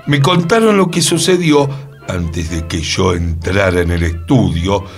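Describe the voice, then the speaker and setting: A man's voice: low-pitched, expressive, a little slow, soft background music. A man acting in radio theatre as an earnest, mysterious co-host, with a Buenos Aires accent and a theatrical, suspenseful delivery. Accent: Argentine, Buenos Aires. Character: earnest, mysterious male co-host recounting strange events.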